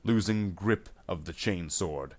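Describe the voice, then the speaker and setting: A man reading a scripted action story aloud in a measured, dramatic way as its narrator. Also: low-pitched.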